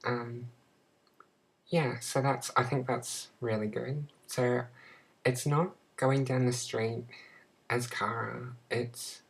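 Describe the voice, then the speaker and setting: A young Australian woman talking to her camera in a casual personal vlog, halting and searching for words with many restarts. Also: very deep voice, fairly even intonation, gravelly, slightly rough, low-quality recording. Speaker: vlogger.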